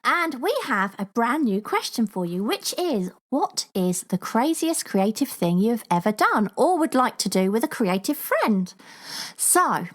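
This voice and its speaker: A woman's voice: fairly high pitch, very animated, a little slow. A woman in her forties co-hosting a podcast, reading out listener comments and teasing her co-host with a giggle. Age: 40s. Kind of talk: casual chat.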